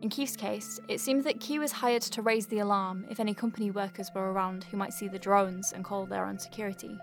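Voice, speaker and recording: A woman's voice: medium pitch, steady tone, brisk, natural voice. Woman acting an earnest, rambling doctor, music behind. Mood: thoughtful, explanatory. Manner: rambling, conversational, thinking aloud. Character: earnest young woman alien-clinic doctor, flustered and wry. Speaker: doctor dictating a patient letter. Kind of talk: audio drama, naturalistic dictation.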